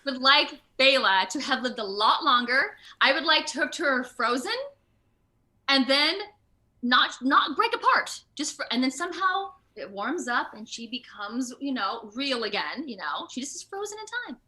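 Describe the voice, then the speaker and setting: Bright-voiced woman, chatty and informal. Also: high voice, normal speed. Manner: animated, chatty, informal with frequent "like" and "you know". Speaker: voice and motion capture actor, interview guest. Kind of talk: casual video interview chat. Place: at home, indoors on a video call.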